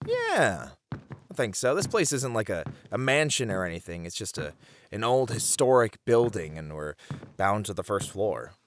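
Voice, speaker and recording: Man acting a playful, protective boyfriend, very animated. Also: fairly low pitch, normal speed, slight background noise. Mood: playful, eager. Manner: teasing, chuckling, conversational reassurance to one listener. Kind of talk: ASMR boyfriend roleplay acting.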